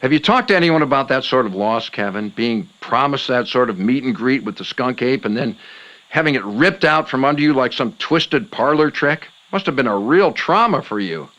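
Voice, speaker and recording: A man playing a gullible, theatrical late-night paranormal radio host in a comedic audio drama, quick to indignation. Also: mid-range voice, lively intonation, fairly bright tone, muffled recording, natural voice. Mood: solemn sympathy.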